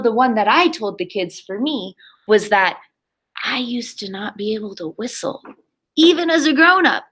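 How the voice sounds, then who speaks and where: A woman's voice: medium pitch, a bit bright. A woman primary school teacher reading a story aloud expressively and talking simply and encouragingly to young children.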